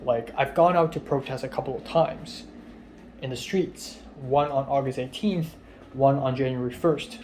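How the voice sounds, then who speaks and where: A man's voice: medium pitch, normal speed, a little mellow, a little hiss or hum, low-quality recording. A young man from Hong Kong talking casually in a spoken video diary, frank and rambling, with frequent fillers and swearing. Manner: rambling, frank, swears freely, many ums. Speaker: vlogger.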